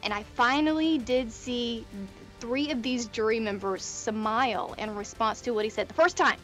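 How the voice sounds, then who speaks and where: A woman in her thirties giving quick, chatty court commentary over a video call. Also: a little high, soft background music. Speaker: court correspondent. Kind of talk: live news commentary.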